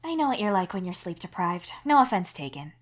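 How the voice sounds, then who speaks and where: A caring young woman voiced by a female reader in a narrated story. She is patient and concerned, speaking gently but pressing her point like a worried friend. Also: fairly low pitch, big pitch swings, brisk. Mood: forgiving.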